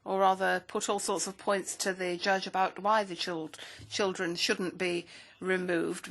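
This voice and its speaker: A woman interpreting a speech into English at a parliamentary hearing, with a steady, measured delivery. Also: lowish voice, vocal fry.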